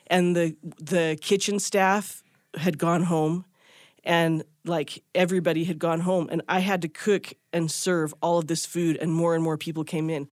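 A woman's voice: fairly low pitch, no echo, studio quality. A woman recounting her own experience in a candid, self-deprecating way, with plenty of likes and you-knows. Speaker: guitarist interviewee. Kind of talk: casual recounting.

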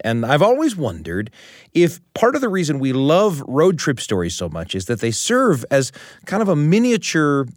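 Lively American male radio host. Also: medium pitch, dry recording, studio quality. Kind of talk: reflective hosting and conversation. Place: studio.

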